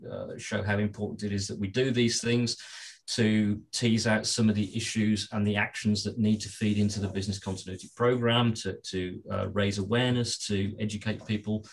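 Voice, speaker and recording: Male guest expert, husky and slightly hoarse, measured explainer. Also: lowish voice, poor audio. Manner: measured, with many restarts and repeated words. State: slightly hoarse, clearing his throat. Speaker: business continuity expert and author, guest. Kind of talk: explanatory interview answers.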